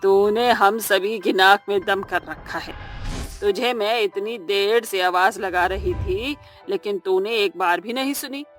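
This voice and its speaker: A woman voicing an exasperated middle-aged mother-in-law in a moral cartoon story, sharp and nagging. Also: medium pitch, a little slow, lightly acted. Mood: angry scolding. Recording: background music under the voice.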